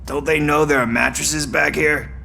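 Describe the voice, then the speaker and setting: An actor playing a cocky, weary police partner in a video game, with wry, self-satisfied banter. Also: male voice, fairly high pitch, steady tone, moderate pace, creaky, sharp tone, a little hiss or hum, heavily acted. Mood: weary, joking. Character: cocky, wisecracking but weary male rookie cop partner. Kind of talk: video game cutscene acting.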